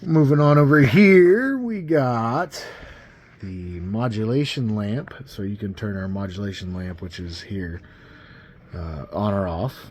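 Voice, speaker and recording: Low-voiced man giving a casual gear demo. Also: takes it slowly. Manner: informal, step-by-step, pointing things out. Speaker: CB radio enthusiast demonstrating gear. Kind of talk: casual hands-on product demo. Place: indoors at a radio bench.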